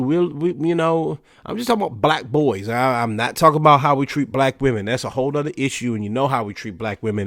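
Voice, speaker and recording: A man's voice: medium pitch, fairly bright tone, dry recording, clean studio sound. A man hosting a podcast, talking in a casual, conversational way, a little stuffy and nasal from allergies. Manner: conversational, filler-heavy with "you know" and restarts. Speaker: podcast host. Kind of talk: casual solo commentary.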